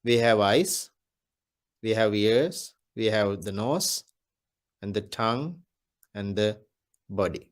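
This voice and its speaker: A Buddhist monk giving a Dhamma talk, speaking deliberately and repeating his key phrases. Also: fairly low pitch, animated.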